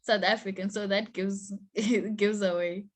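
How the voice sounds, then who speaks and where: A young woman from Zimbabwe with a Zimbabwean accent, an interview guest who speaks haltingly and repeats her words. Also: mid-range voice, steady tone. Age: young adult. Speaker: guest, university student.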